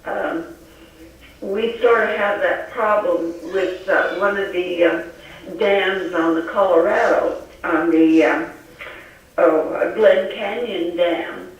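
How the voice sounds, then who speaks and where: A woman's voice: lowish voice, slow pace. A woman chatting casually as an amateur radio operator, hesitant and searching for her words. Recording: very echoey, distant, dull lo-fi audio.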